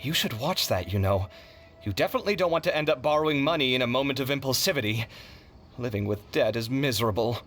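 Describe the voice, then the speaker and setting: A man playing a proud, generous young man in an anime video game, casual and theatrically expressive. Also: medium pitch, fast talker, soft background music, natural voice. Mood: concerned.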